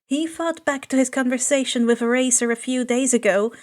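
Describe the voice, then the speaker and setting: A woman with a very high voice narrates fiction. She reads scripted prose aloud as an amateur audiobook narrator. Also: fairly quick, a little mellow. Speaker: podfic narrator.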